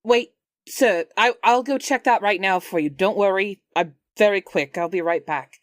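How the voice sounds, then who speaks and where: A woman's voice: medium pitch, normal speed, dry recording, studio quality, close to an everyday voice. A woman playing a kindly, helpful adventurer in a tabletop game, plain-spoken and reassuring. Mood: eager, reassuring.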